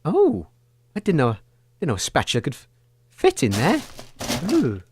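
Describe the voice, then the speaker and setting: A man's voice: a little high, wildly swooping pitch, moderate pace, a little mellow, slight background noise. A man hosting a comic radio show, hesitant and full of fillers, easily startled.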